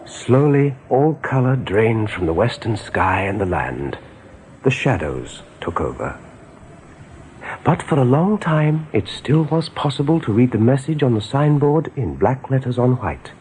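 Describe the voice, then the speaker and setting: A man giving scripted narration in a radio drama, measured and dramatic. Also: mid-range voice, very animated, a little mellow. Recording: noisy old radio play recording.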